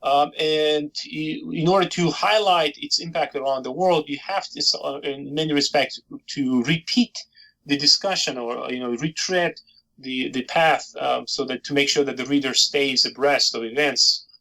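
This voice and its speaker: A middle-aged man, a historian from Georgia whose first language is Georgian, answering interview questions thoughtfully with many pauses and fillers. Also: on the high side, sharp tone, poor audio. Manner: thoughtful, hesitant with frequent fillers. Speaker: historian, author.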